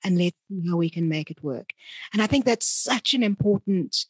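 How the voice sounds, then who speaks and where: A woman hosting a conversational interview, speaking deliberately and often rephrasing as she goes. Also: mid-range voice, expressive, breathy edge. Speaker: interviewer and podcast host.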